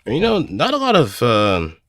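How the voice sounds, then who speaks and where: A man streaming casually, thinking aloud and improvising as he goes, with hesitant pauses. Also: mid-range voice, huge pitch swings, a bit bright, dry recording, studio quality. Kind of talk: casual rambling livestream commentary.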